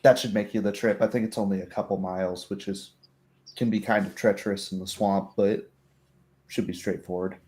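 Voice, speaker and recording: A man's voice: on the low side, steady tone, a little fast, darkish tone. A man narrating a tabletop roleplay as game master in a casual, conversational way.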